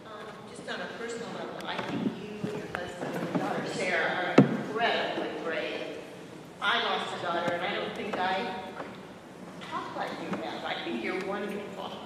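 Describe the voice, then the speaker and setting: A man's voice: high-pitched, normal speed. A man making a personal comment as a member of the audience. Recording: very noisy echoey hall, distant.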